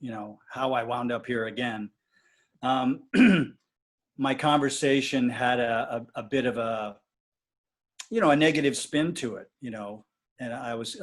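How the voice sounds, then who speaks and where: Guy talking things through slowly, lots of ums and restarts, casual chat. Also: mid-range voice. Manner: reflective, halting with many restarts and fillers. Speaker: client of a dating coach. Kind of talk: reflective casual conversation.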